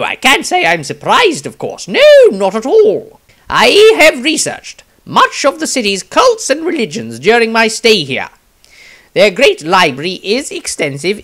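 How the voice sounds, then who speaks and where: A man voicing an excitable, opinionated wizard who scorns religion, reading his fantasy diary with theatrical exclamations. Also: sits high, voice pushed up, heavily acted.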